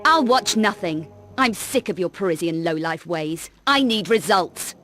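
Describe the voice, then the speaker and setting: A woman's voice: fairly low pitch, animated, measured pace, fairly bright tone, soft background music. A woman playing a brash, cool adventurer heroine in a video game, with a curt, sardonic and defiant manner. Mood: angry, defiant. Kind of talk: video game cut-scene acting.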